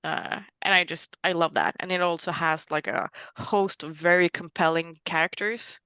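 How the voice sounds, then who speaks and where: A woman's voice: on the low side, fairly even intonation, moderate pace, sharp tone, clear, resonant tone, dry recording. A woman from Norway with a Norwegian accent, a guest author chatting casually, with many fillers and self-mocking laughs. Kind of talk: casual interview chat.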